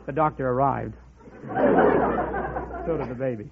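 A man's voice: medium pitch, a little slow, vocal fry, narrow, tinny audio, a loud crowd. A man answering earnestly as a contestant on an old, noisy radio quiz show. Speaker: quiz contestant, school attendance officer.

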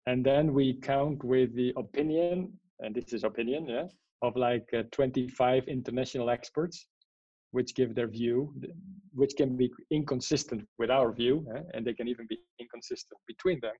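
A man's voice: medium pitch, normal speed, dark tone, breathy edge, no room sound, dull audio. A man from the Netherlands, a guest in an interview, explaining at length with many fillers and checking "yeah?" questions. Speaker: guest, AI strategist and author. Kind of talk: interview answers.

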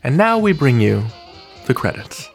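A man's voice: mid-range voice, big pitch swings, normal speed, gravelly, faint music behind. A man reading scripted narration as a podcast host.

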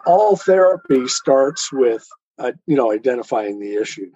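A man with a mellow, dark voice and a rough husky grain speaks as an interview guest. He explains things in a deliberate, declarative, teacherly way. The recording is dry and somewhat dull. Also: medium pitch, lively intonation, no echo.

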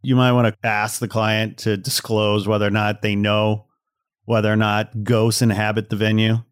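A man with a fairly low voice hosts a podcast, recorded close and dry. He comments casually and wryly, addressing listeners directly as if in conversation. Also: little pitch movement, moderate pace, smooth, dry recording. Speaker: podcast host.

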